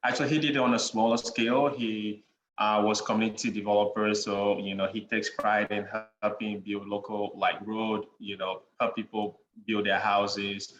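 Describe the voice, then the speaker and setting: A young man with a Ghanaian accent, a podcast guest chatting in a relaxed way, with many "you know" fillers and frequent chuckles. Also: fairly low pitch, normal speed, soft background music. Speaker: guest, real estate investor. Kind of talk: casual interview conversation. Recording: dull lo-fi sound in an echoey room with faint noise.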